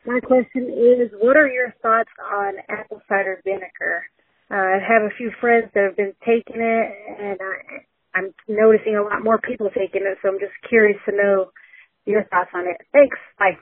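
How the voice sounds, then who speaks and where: A woman's voice: mid-range voice, fairly even intonation, moderate pace, breathy edge, no echo. American woman on a tinny phone line. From North Carolina, United States. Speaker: listener leaving a voicemail question. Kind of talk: casual voicemail message.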